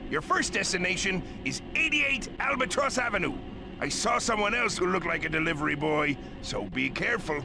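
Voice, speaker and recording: An actor playing a greedy, blustery old crab boss with a gravelly, salty sea-captain manner in a cartoon game. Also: male voice, high-pitched, sing-song, normal speed, music in the background, heavily acted. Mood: brisk, urgent. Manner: blustery, salty pirate-like talk. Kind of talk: video game cartoon acting.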